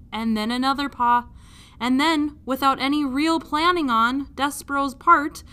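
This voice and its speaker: Bright-voiced woman reading a storybook. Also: on the high side. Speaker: teacher reading a children's book aloud. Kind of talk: expressive storybook narration.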